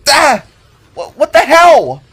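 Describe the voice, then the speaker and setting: A man's voice: wildly swooping pitch, slow, a bit bright, heavily acted. A man voicing a terrified, shrieking woman in an audio drama. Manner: shrill, panicked crying out.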